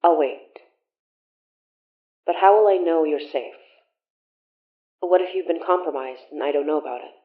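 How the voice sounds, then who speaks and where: A woman's voice: deep voice, normal speed, pauses often, lightly acted. An actress playing a weary, defiant young woman with magical senses in an audio drama, speaking casually and bluntly with a wry edge. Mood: weary, frustrated. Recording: muffled phone-like sound, roomy echo.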